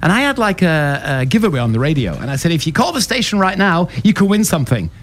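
A man's voice: a little high, big pitch swings, fast talker. A man with a German accent hosting a live radio interview, chatty and upbeat, speaking English as a second language. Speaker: radio host. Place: radio studio at a festival.

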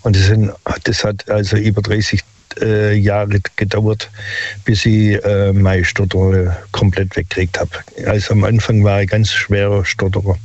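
An older man calls in and tells his story haltingly, with many filler sounds. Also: on the low side, flat delivery, a little mellow, no room sound. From Stuttgart area. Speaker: caller. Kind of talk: phone-in storytelling. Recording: dull lo-fi phone line.

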